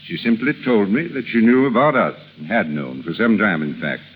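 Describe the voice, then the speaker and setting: A man's voice: lowish voice, normal speed, natural voice. An actor playing an ardent, commanding businessman in his forties, in 1930s radio drama style. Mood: troubled. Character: ardent, commanding forty-six-year-old wealthy businessman in love. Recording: tinny, hissy 1930s radio recording.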